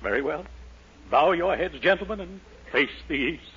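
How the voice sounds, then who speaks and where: High, swooping, earnest school leader. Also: male voice, animated, moderate pace, fairly bright tone. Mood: solemn. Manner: mock-solemn, sentimental. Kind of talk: 1940s radio comedy acting. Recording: noisy, muffled 1940s radio recording.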